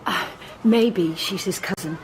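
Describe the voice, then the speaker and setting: An actress playing Juliet, a teenage schoolgirl, who talks in a gushing, fretful way and often drops to a hush. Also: on the low side, takes it slowly, a little hiss or hum, low-quality recording. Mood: excited. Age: teens. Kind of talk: radio drama acting.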